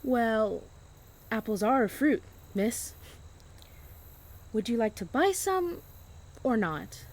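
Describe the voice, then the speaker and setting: A woman's voice: a little high, sing-song, takes it slowly, long pauses, darkish tone, full character voice. A woman playing a blunt, aggrieved fruit vendor in a radio play. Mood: flat, matter-of-fact. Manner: blunt, complaining.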